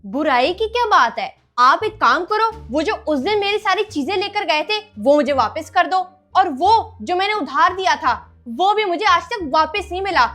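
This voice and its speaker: A tattling, sarcastic older sister, acted by a woman in a family comedy skit. Her voice is extremely high and complaining, with faint music underneath. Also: expressive, normal speed, hardly any pauses, sharp tone, exaggerated voice. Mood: accusing. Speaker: actor in a comic skit. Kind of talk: comic family skit acting.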